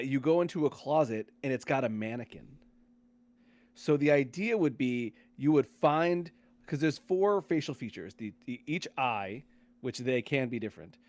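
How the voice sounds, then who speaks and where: A man's voice: mid-range voice, darkish tone. Man casually talking through a game. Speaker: podcast host.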